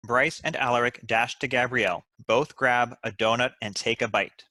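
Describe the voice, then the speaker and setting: A man's voice: medium pitch, steady tone, normal speed, pushed and bright, no echo. A man giving scripted narration, reading out the stage directions of a screenplay.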